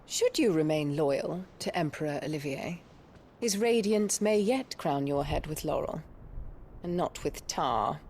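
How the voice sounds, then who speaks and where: A scheming imperial noblewoman. She is haughty and venomous, speaking with formal, condescending deliberation as in a dramatic game cutscene. A faint rumble sits underneath. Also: expressive, measured pace, darkish tone. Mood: coldly commanding.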